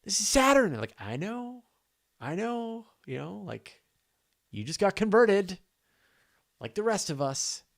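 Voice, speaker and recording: A man's voice: high-pitched, sing-song, a little slow, gravelly, long pauses. A man hosting a live astronomy question show, chatting casually and off the cuff with informal enthusiasm.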